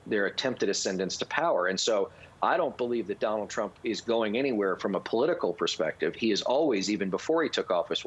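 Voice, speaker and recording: A man giving earnest political analysis in a live news interview, measured and thoughtful, with frequent fillers and restarts. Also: lowish voice, breathy edge, dull audio. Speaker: political commentator.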